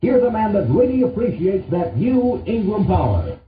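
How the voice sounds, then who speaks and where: A man's voice: sits high, sing-song, normal speed, a little hiss or hum. A man selling in the style of an old-time radio advertisement announcer. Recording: muffled vintage radio, roomy, distant.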